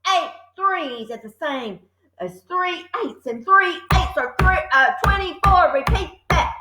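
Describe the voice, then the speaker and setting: A woman voicing a furious, bullying headmistress who shouts insults at children, reading in an echoey room. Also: extremely high pitch, expressive, slow pace, noisy background, voice pushed up, full character voice.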